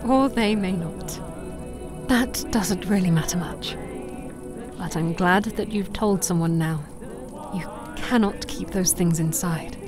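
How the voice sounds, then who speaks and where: An actress playing a wise, serene elven mother in a fantasy audio drama, with gentle, formal, measured phrasing. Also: lowish voice, music in the background. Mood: tender, encouraging.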